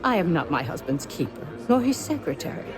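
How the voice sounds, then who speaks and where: A haughty, neglected politician's wife with very animated intonation. She is bitter and arch, dripping theatrical sarcasm in a game cutscene over clear music. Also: sits low, a little fast. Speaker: senator's wife. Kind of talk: acted game cutscene dialogue.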